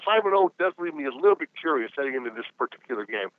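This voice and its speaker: Fast-talking male reporter on the phone. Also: mid-range voice, sing-song, dry recording. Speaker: newspaper reporter. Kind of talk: press questions on a conference call. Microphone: telephone. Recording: phone-like line.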